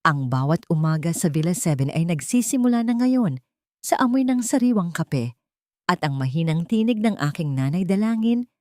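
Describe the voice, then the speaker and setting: Low-pitched woman narrating drama, dry sound. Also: sing-song, normal speed, darkish tone, no echo. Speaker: story narrator.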